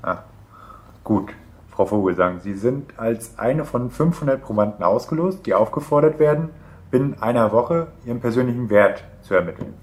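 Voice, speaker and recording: A man's voice: medium pitch, expressive, normal speed, darkish tone, slight background noise, close to an everyday voice. An actor playing an officious government sociologist in a radio play, speaking formally and matter-of-factly as he conducts an interview. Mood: formal, businesslike. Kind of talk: German radio play acting.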